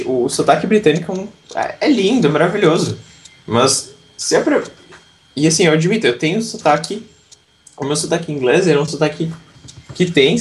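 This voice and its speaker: A man from southern Brazil, an English teacher, talking reflectively in a casual interview with frequent restarts. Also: on the high side.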